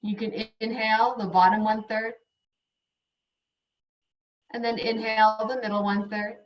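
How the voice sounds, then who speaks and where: A woman yoga instructor leading slow guided breathing and meditation in soothing, unhurried short phrases with long pauses. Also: a little high, level tone. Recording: dull, echoey room, distant mic.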